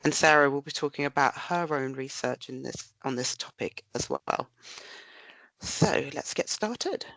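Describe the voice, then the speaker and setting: Nasal, low-creaking woman with a cold. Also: unhurried, a bit bright, no room sound, low-quality recording. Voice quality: nasal, bunged-up. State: blocked with a cold. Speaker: research director presenting. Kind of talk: prepared webinar presentation.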